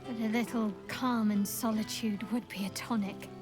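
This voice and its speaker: A woman performs a devout dark priestess from a video game, commanding yet wistful. Her voice sits fairly high, and she speaks formally and intimately, with measured, wry poise. Faint music plays behind her. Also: steady tone, lightly acted. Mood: coy, intimate. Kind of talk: video game character dialogue. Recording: game dialogue over background music.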